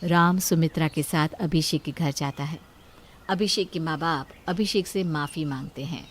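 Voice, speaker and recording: Low-voiced woman storyteller. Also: moderate pace, a little hiss or hum. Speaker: narrator. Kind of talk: scripted moral-story narration.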